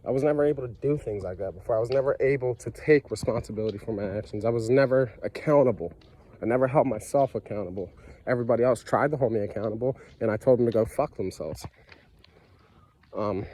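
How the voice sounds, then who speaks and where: A man with a cold speaks in a mellow, relaxed voice, his nose stuffy and congested. He talks earnestly and conversationally, leaning on "you know" and repeating himself as he thinks aloud. A faint hiss of noise sits behind him. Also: medium pitch, fast talker. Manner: earnest, conversational, many fillers and repeated phrases. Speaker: vlogger sharing his recovery story. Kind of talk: casual personal monologue.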